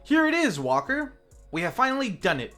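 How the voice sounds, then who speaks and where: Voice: medium pitch, sing-song, a little fast, a bit bright, faint music behind, voice pushed up. A film reviewer voicing an idealistic, indignant male scientist with earnest emphasis. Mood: triumphant.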